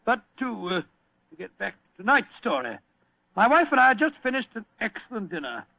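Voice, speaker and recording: A genial, fussy British gentleman storyteller in an old radio play. His voice is high and crackly, and he blusters and repeats himself. The sound is thin and phone-like. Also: very animated, brisk, gravelly, exaggerated voice. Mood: reminiscing, genial. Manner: blustering, hesitant, repeats words. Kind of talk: vintage radio drama acting.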